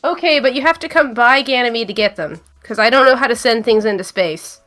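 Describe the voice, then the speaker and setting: A woman's voice: on the high side, fairly quick, a bit bright, faint music behind, natural voice. A woman playing a naive, eager young female bounty hunter who gushes and hesitates in an improvised tabletop game. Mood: naive, hesitant. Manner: gushing, hesitant, guileless.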